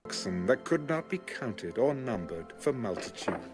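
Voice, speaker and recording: A man reading scripture as a scripted audio Bible narration, in a measured, formal way. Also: medium pitch, big pitch swings. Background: music under the reading.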